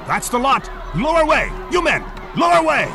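An actor playing an urgent, commanding ship's officer in an audio drama, barking orders. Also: male voice, very high voice, big pitch swings, a little fast, creaky, raised voice, over music, heavily acted.

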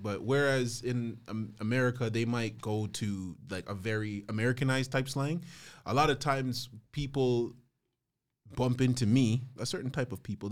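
A man from Saint Vincent co-hosts a casual podcast. He speaks in an animated, lively way and sometimes repeats words as he starts a thought. His voice sits in the middle of the male range. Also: mid-range voice. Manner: animated, mocks accents, repeats himself when starting. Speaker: podcast co-host. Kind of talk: casual chat.

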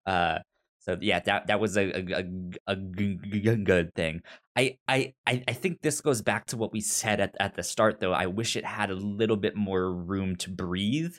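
A man co-hosting a podcast in casual conversation, with a stutter that repeats sounds and words and a halting delivery. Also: sits low, a bit bright. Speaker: podcast co-host. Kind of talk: casual chat discussing a TV series.

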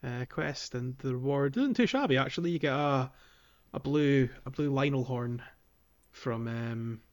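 A man hosting a podcast, casually guiding listeners through a video game in a halting, think-aloud way. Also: mid-range voice, lo-fi sound. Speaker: podcast host.

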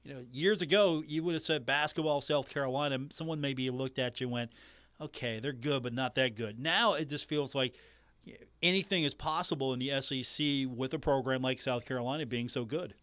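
Male sports radio host, smooth clean voice, dry close sound, conversational delivery. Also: medium pitch, brisk, a bit bright, no echo.

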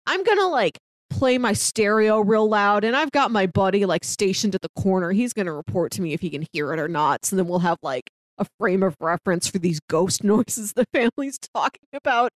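A woman's voice: medium pitch, expressive, fairly quick, no echo. A woman chatting casually as a podcast co-host, conversational and peppering her sentences with "like". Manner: chatty, conversational, heavy use of "like". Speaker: podcast co-host giving recommendations. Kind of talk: casual film discussion chat.

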